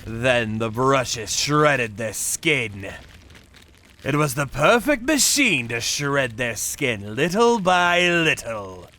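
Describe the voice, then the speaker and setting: A man voicing a grim, vengeful torturer who narrates his work in a manga dub with deliberate menace. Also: medium pitch, sing-song, bright, forceful tone, heavily acted. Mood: cold menace. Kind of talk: dramatic first-person manga dub narration.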